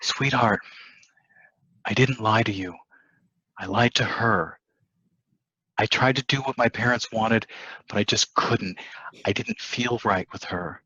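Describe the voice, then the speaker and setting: A man's voice: lowish voice, slow pace, pauses often, sharp tone, husky, dry recording, dull audio, full character voice. A man playing a defensive, insistent cheating husband in a stage play reading. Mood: defensive, pleading.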